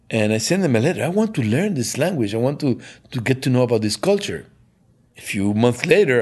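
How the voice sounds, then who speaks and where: Animated Cuban-born man. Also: medium pitch. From Cuba. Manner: animated, enthusiastic. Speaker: chemistry professor. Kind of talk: interview storytelling.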